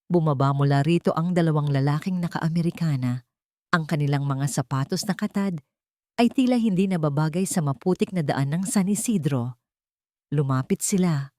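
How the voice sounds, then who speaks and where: A woman's voice: sits low, moderate pace, mellow, dry recording, clean studio sound. A woman narrating an audiobook, reading a scripted love story in a dramatic storytelling style.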